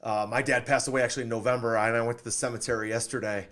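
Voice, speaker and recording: A man's voice: medium pitch, little pitch movement, rapid-fire, a bit bright. A man hosting a podcast in an upbeat interview style, enthusiastic and affirming as he talks. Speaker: podcast host.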